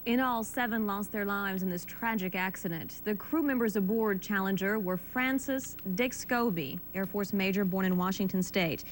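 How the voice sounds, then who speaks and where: American woman reading TV news, faint rumble. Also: normal speed, hardly any pauses, poor audio. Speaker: news anchor. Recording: old TV broadcast with faint rumble.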